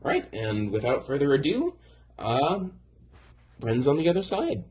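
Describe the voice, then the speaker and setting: Guest voice performer, man, lively intonation, presentational and friendly. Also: fairly high pitch, unhurried. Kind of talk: introducing a performance.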